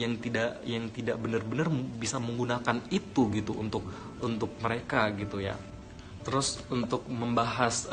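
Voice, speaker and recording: A young man from Aceh talking casually to viewers, hesitant with many fillers and restarts, slipping into English phrases. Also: mid-range voice. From Aceh, Indonesia. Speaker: testimony speaker. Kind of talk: casual motivational monologue to viewers. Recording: music playing behind.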